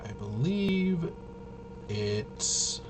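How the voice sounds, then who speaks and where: A man hosting a casual, unscripted tabletop game walkthrough, halting and rambling as he thinks aloud. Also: medium pitch, very animated, background noise. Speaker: host of a tabletop RPG channel.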